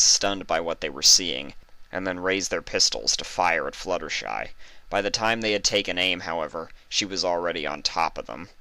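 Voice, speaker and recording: A man with a deep voice narrates a piece of fan fiction. His tone has a bright, forceful edge. The sound is close and very dry, as scripted reading. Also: no echo.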